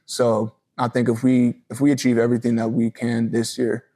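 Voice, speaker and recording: A man's voice: medium pitch, completely flat delivery, moderate pace, mellow. A man in his early twenties giving a casual, earnest and respectful sports interview as a college football player. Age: early 20s.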